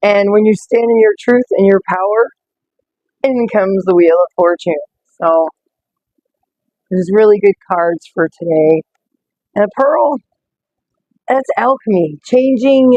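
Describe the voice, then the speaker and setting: Slow, slightly rough-voiced woman. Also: medium pitch, no echo, muffled recording. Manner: slow, gentle, greets viewers by name. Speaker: oracle card reader. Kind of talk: casual live-stream card reading.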